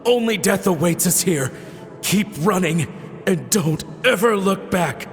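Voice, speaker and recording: Desperate male protector: urgent, drawn-out delivery, raised pitch, reverberant, game drama. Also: lively intonation. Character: desperate, urgent man warning a child to flee. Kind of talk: video game voice acting. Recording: long cavernous reverb.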